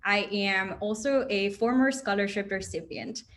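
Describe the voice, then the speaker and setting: A woman's voice: medium pitch, measured pace, a bit bright. A young woman from Chile hosting an online info session, chatty and upbeat with many fillers, heard over a video call. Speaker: host, operations manager.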